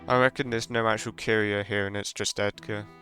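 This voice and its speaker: A man's voice: on the low side, flat delivery, fairly quick, bright, forceful tone, faint music behind. A man streaming a game, thinking aloud and speculating in casual live commentary.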